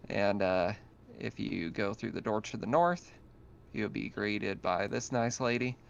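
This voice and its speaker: A man's voice: on the low side, fairly even intonation, a little slow, vocal fry, clean tone. A man working as game master, informally narrating a tabletop roleplaying game over an online call. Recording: slightly dull online voice-call audio.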